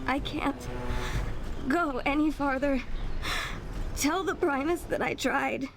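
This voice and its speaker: A weakened young scout in a fantasy game. She reports in a high, urgent, faltering voice over clear music. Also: slow pace. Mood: strained urgency. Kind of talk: video game cutscene acting. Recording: music behind the voice.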